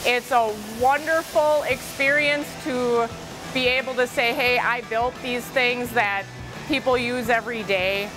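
Bright-voiced woman welding instructor. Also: a little high, fairly even intonation, measured pace, rough recording. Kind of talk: promotional interview. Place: large welding shop, indoors. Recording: music bed underneath.

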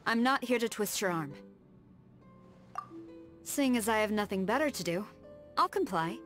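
A woman's voice: mid-range voice, lightly acted. A woman voicing a stern, formal tengu warrior. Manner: formal, measured, precise diction. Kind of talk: scripted video game character dialogue. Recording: studio voice over background music.

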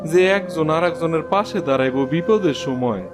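A man's voice: fairly high pitch, expressive, fairly quick, natural voice. A man voicing a weary, humble old jackfruit seller in a cartoon, plaintive and folksy, with a rural Bangladeshi accent. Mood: weary, sorrowful. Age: older. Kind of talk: cartoon storytelling dub. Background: prominent music.

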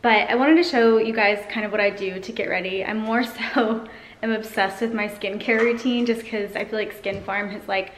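A woman's voice: medium pitch, fairly even intonation, normal speed. An American woman in her thirties chatting casually to camera as a vlogger, in an informal way full of "like". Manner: informal, peppered with "like". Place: indoors, large high-ceilinged house room. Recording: echoey room, faint noise.

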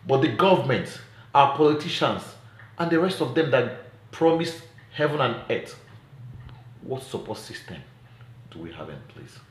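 A middle-aged man with a Nigerian accent speaks earnestly to camera as an advocate, deliberate and emphatic, posing rhetorical questions. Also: medium pitch, big pitch swings. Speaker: child welfare advocate and presenter. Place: small indoor room at home. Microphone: phone at arm's length. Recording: roomy echo, faint background noise.

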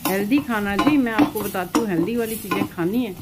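A woman's voice: mid-range voice, fairly quick. A woman hosting a cooking video in her home kitchen, talking homely and instructive and repeating words for emphasis. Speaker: cooking channel host. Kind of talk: chatty cooking narration. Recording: loud sizzling pan noise. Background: frying and stirring sizzle.

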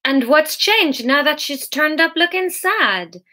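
A woman's voice: on the high side, lively intonation, normal speed, bright, forceful tone. A woman voicing a relentless, scornful female devil in an audiobook, taunting and persuading with mock exasperation. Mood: scornful, unsentimental.

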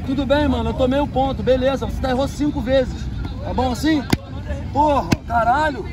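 A man mid-match on a beach court, griping and cursing at his partner. His voice is very high. A dense crowd roars around him. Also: brisk. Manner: frustrated, swearing at his partner. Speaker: footvolley player. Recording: heavy crowd noise.

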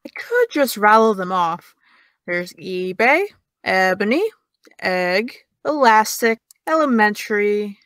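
A woman's voice: medium pitch, very animated, slow pace, fairly bright tone, no room sound, studio quality. A young actress playing a sarcastic young woman who teases and needles in an audio drama. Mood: mocking. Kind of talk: audio drama dialogue of a video call.

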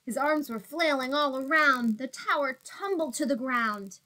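A young woman teacher with a high voice and a slow pace. She reads aloud to little children in simple phrases, as if explaining to a class. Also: sharp tone, rough recording. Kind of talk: storybook read-aloud and talk to small children. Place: small plain room indoors.

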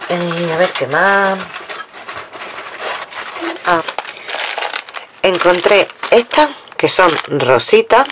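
A woman's voice: on the low side, expressive, extremely slow, long pauses. A woman from Spain casually showing a craft-supply haul at her table, chatting to viewers and counting items aloud. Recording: noisy home recording with crinkling packets.

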